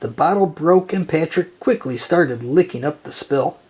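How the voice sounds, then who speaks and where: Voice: medium pitch, expressive, moderate pace, a little mellow, slightly rough. A storyteller reading a children's picture book aloud in narration style. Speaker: storybook reader.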